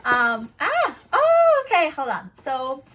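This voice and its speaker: A woman's voice: sits high, huge pitch swings, slow, fairly bright tone, rough recording. A woman chatting casually to camera as she unboxes a gift box, bubbly and giggly, seated on a bouncy ball at home. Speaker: vlogger unboxing a swap box.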